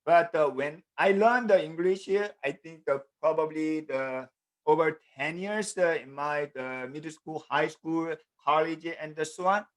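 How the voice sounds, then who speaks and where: Korean man teaching in halting English. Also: a little high. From South Korea. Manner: halting, with frequent fillers and repeated "the". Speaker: programming teacher. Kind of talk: online class lecture over video call. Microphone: computer mic on a video call.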